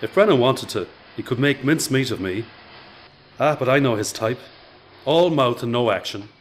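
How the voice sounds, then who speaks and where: Actor as lively, wry Irish working man. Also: mid-range voice, moderate pace, a little hiss or hum, lightly acted. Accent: Irish, Dublin. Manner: wry, teasing, confident. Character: cocky, dry-witted, self-assured male factory worker. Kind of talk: radio play acting.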